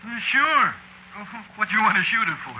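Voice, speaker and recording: An easygoing, slangy sound-effects man in a vintage radio play. His high, very forceful voice has an exasperated, offhand way, with faint hiss from the old recording. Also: big pitch swings, normal speed, lo-fi sound, full character voice. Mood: mildly exasperated. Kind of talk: 1940s radio drama acting.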